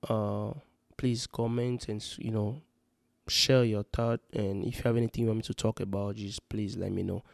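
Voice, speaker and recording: A man's voice: fairly low pitch, little pitch movement, normal speed, vocal fry, no echo, studio quality. A man from Congo, talking earnestly and rambling in a casual vlog, full of "you know" fillers. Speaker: vlogger giving parenting advice. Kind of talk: casual spoken vlog monologue. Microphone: handheld or close mic.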